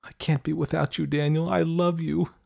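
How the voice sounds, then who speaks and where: A man's voice: fairly high pitch, sing-song, measured pace, a little mellow, voice pushed up, exaggerated voice. An emotional teenage girl in love , as a man reads her in an audiobook. The voice is lifted well up and swings widely, with emphatic, defiant pleading. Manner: dramatic, emphatic. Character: lovestruck, defiant seventeen-year-old girl, emotional and pleading. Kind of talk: audiobook character acting.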